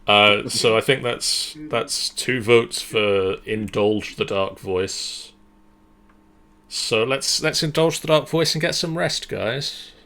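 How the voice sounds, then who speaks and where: A man serving as game master, narrating a story in a measured, dramatic way and then chatting casually. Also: mid-range voice, pushed and bright.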